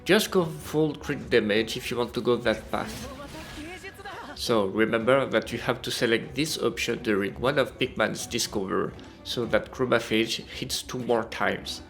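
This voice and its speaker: A man's voice: medium pitch, unhurried, fairly bright tone. A man reading a scripted video game review as a voice-over. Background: music.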